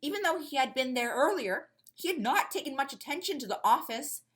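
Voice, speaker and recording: A woman's voice: high-pitched, big pitch swings, a little fast, fairly bright tone. A woman reading scripted fiction as the narrator of a story podcast.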